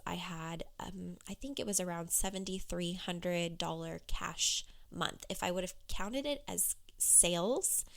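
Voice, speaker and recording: A woman business coach talking candidly on her solo podcast, with a hoarse, froggy voice. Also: lowish voice. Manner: candid, conversational, with fillers.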